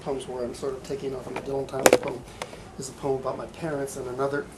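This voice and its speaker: A man giving a live poetry reading in a bookstore, with halting, deliberate delivery, in an amateur recording. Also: medium pitch, level tone, heavy background noise. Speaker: poet. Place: bookstore reading event, indoors.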